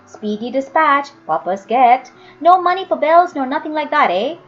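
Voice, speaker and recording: Woman playing bent old man, rough dialect, muffled recording. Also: high voice, lively intonation, normal speed, faint music behind. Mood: blunt. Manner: rustic dialect speech, blunt muttering. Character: Joseph, bent old workhouse man, rough but kindly.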